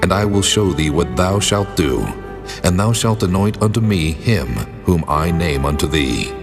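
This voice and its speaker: An actor playing God in dramatized scripture audio, a majestic, commanding divine voice with grave authority. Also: male voice, low voice, lively intonation, normal speed, music in the background. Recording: long cavernous reverb.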